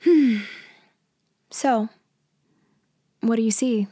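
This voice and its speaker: A woman playing a cunning female mafia leader in an ASMR roleplay, speaking softly and hesitantly. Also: on the high side, very slow, dry recording, studio quality. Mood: feigned helplessness. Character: cunning female mafia leader feigning a helpless stranded motorist.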